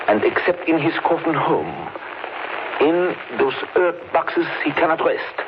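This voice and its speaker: A grave old professor. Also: male voice, fairly high pitch. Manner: grave, urgent, formal. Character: elderly male professor, grave vampire hunter. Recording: muffled 1930s radio broadcast, hissy.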